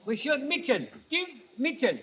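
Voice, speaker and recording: High lilting waiter boy, polite broken english, old radio drama. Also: big pitch swings, moderate pace. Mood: deferential. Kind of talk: 1950s radio drama acting. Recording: dull vintage radio broadcast.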